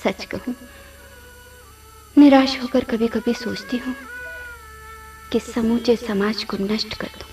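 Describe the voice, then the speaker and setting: Female ghost for radio drama: high voice, wronged, pleading yet vengeful, music behind. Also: fairly even intonation, takes it slowly, long pauses, darkish tone, recorded from a distance. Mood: bitter, pleading. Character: yearning, vengeful bodiless ghost of a wronged woman. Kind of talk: Hindi radio play acting.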